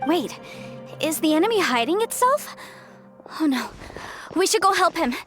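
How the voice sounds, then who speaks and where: A woman's voice: very high voice, very animated, normal speed, music in the background. A woman playing an eager, earnest, inquisitive young woman adventurer in a video game. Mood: alarmed. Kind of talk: video game character acting.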